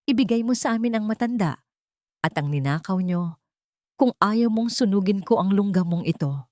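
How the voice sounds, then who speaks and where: A woman's voice: medium pitch, lively intonation, moderate pace, darkish tone, no echo, clean studio sound, lightly acted. A woman voicing a ruthless, angry grown son who gives cold threats in an audio drama. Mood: angry threatening. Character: ruthless angry eldest son hunting his mother.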